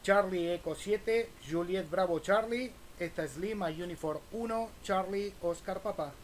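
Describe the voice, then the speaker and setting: A man's voice: high-pitched, unhurried. A man with a Buenos Aires Spanish accent, chatting casually as an amateur radio operator. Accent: Buenos Aires Argentine Spanish. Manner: spells call signs in phonetic alphabet. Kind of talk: casual ham radio contact. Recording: faint noise behind voice.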